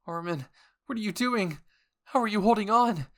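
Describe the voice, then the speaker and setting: A man's voice: sits high, expressive, moderate pace, voice pushed up. A man voicing an anguished young soldier in an audio roleplay, pleading desperately with emotional outbursts. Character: anguished young soldier screaming inner pleas for a friend.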